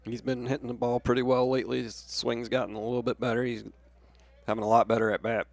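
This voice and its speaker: Fast, even-toned American male baseball analyst. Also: mid-range voice, creaky, no room sound. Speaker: radio color commentator. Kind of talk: analytical baseball commentary, conversational.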